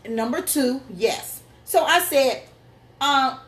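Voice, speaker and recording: A woman's voice: sits high, takes it slowly, a bit bright. A woman co-hosting a casual chat show with her husband, playful and laughing often. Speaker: co-host. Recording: echoey room with faint noise.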